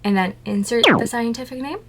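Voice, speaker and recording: A woman's voice: fairly high pitch, a little fast. Chatty woman vlogger over background music. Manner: chatty, playful, asks and answers her own questions. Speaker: tarantula keeper vlogger. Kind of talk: casual scripted talk to camera.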